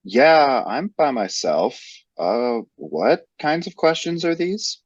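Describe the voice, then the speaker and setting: A man's voice: mid-range voice, very animated, slow, breathy edge, no room sound, dull audio, exaggerated voice. An actor playing a hesitant man who answers personal questions from a health interviewer with reluctance. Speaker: interviewee in a training role-play.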